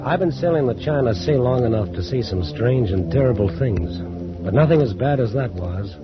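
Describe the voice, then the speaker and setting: A man's voice: medium pitch, animated, normal speed, close to an everyday voice. A man playing a scornful, plain-spoken young chief mate, narrating in 1940s radio drama style. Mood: wry, scornful. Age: young adult. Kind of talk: 1940s radio acting, first-person narration. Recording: dull old radio recording over music.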